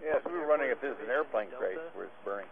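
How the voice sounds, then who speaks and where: A man's voice: medium pitch, lively intonation, racing, crowd noise. A man, a pilot, making calls to a control tower over aviation radio. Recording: tinny aircraft radio with clear static and clicks.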